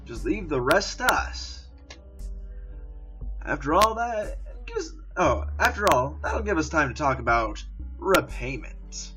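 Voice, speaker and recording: A man's voice: on the high side, big pitch swings, unhurried, music in the background, pitched above the performer's own voice. A man voicing a shady male coworker, a cocky and teasing small-time criminal. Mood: sly.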